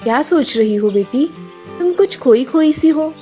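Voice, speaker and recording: A woman's voice: sits high, lively intonation, a little slow, mellow, faint music behind. A woman voicing a kindly old forest grandmother in a children's cartoon story. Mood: gentle, caring.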